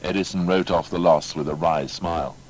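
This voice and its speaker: A man's voice: low voice, fairly even intonation, brisk. A man narrating a scripted biography in a formal, emphatic storytelling manner. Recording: faint background noise.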